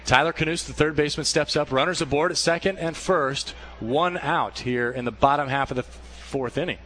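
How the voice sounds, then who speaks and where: A man doing radio play-by-play for a baseball game, calling pitches in a steady flow that lifts when a play unfolds. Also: normal speed. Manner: steady calls, rising excitement on plays. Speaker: play-by-play announcer.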